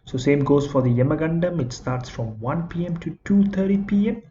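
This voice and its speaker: A man from Singapore, walking through slides with halting speech and frequent filler sounds. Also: a little high, expressive, dark tone, a little hiss or hum. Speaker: astrology presenter. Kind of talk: slide walkthrough narration. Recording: slightly muffled lo-fi recording.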